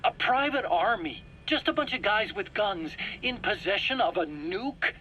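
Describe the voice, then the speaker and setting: A man's voice: sits high, lively intonation, measured pace, sharp tone, lo-fi sound, a little hiss or hum, heavily acted. An actor playing a frightened, defensive male scientist under interrogation, whiny and pleading, in a dramatic video game.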